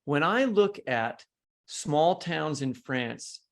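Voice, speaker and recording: An American man hosts in a somewhat high voice with marked vocal fry. He talks conversationally and lands his points in short, emphatic statements. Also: animated, slow, a bit bright. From United States. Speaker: podcast host. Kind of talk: conversational interviewing.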